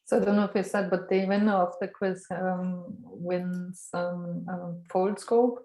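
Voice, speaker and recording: A woman's voice: fairly low pitch, fairly even intonation, measured pace, warm tone. Woman host, chatty, echoey room sound, video call. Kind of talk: casual chat.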